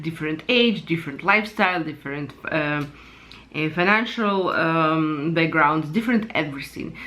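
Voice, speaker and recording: A woman from Ukraine hosting her own channel, giving her personal opinions unscripted and pausing often to search for words. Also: lowish voice, fairly bright tone. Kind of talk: unscripted personal commentary to camera.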